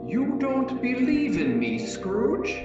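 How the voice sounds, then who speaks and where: A man playing the anguished, stern ghost of a dead business partner, declaiming in slow, moaning, portentous tones in a theatrical reading. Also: very high-pitched, wildly swooping pitch, exaggerated voice. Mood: mournful warning. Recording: echoing, distant, muffled, music behind.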